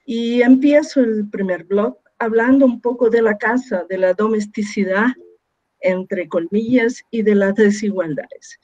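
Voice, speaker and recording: Brief: Chilean-born woman living in Brazil, Portuguese-tinged Spanish, measured talk with pauses, mid-pitched voice, muffled call audio. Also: a little mellow. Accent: Chilean Spanish with strong Brazilian Portuguese influence. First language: Spanish, long living in Brazil. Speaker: architect and webinar speaker. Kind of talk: presenting a talk on a video call.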